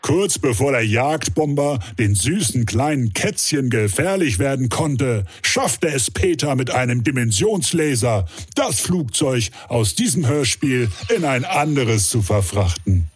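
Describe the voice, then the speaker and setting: A man's voice: mid-range voice, expressive, unhurried, faint music behind, natural voice. A man playing an earnest narrator, reading scripted dramatic narration for a comic audio play. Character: earnest adventure-story narrator.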